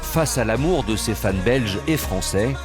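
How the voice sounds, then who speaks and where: Male narrator, mid pitch, scripted TV documentary voice-over, music underneath. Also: animated, unhurried. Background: music behind the voice.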